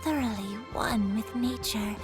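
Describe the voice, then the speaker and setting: A woman voicing a serene, dreamy, nature-loving character in a video game, calm and contemplative in delivery. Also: on the high side, measured pace, natural voice. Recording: music under the voice.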